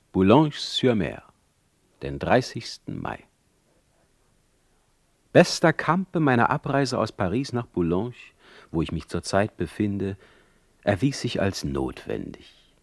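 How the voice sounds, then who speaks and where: A man's voice: low-pitched, heavily acted. A man playing a sardonic, imperious German poet in exile for a radio play, declaiming his words. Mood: wry, dictating. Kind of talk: radio play acting and letter reading.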